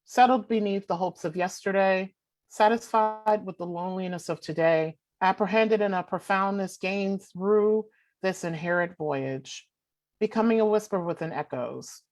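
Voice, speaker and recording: A young adult woman performing spoken word poetry with forceful, rhythmic declamation. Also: medium pitch, normal speed. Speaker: poet.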